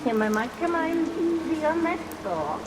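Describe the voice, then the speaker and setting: A woman's voice: high-pitched, a little slow. A woman playing a haughty, sinister employer in a vintage radio melodrama, speaking with formal, imperious and ominously polite menace. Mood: coolly menacing. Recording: vintage radio recording, steady rain noise.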